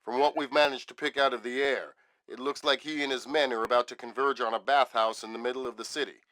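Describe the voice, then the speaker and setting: An actor playing a seasoned, exacting intelligence chief in a video game, speaking in a measured, authoritative and dry manner. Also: male voice, medium pitch, steady tone, fairly bright tone, lightly acted. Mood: businesslike. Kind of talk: video game voice acting, briefing.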